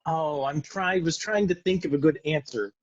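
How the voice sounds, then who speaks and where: A man's voice: fairly high pitch, normal speed. A man talking casually in a group chat over muffled online call audio. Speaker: cast member of a puppet show. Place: video call.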